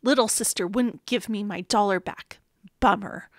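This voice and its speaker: A woman's voice: medium pitch, normal speed, no room sound, clean studio sound. A woman hosting a read-aloud, narrating expressively and dramatically for small children. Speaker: storybook reader, channel host.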